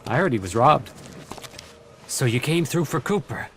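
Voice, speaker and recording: A man's voice: medium pitch, lively intonation, normal speed, fairly bright tone, a little hiss or hum. An actor playing a pragmatic, wary male outlaw in a video game, colloquial and matter-of-fact. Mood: approving. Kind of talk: video game dialogue acting.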